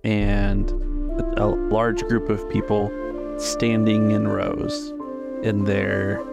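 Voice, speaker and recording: Low-voiced man narrating, slightly gravelly. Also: monotone, extremely slow, loud music behind the voice. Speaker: game master. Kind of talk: narrating a tabletop roleplaying game.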